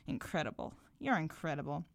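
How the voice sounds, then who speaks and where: A woman's voice: medium pitch, lively intonation, moderate pace, a little mellow, no echo, studio quality, close to an everyday voice. A woman reading a short story aloud, voicing a weary, teasing aunt who is fond but can be stern. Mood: wry. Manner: sighing, wry.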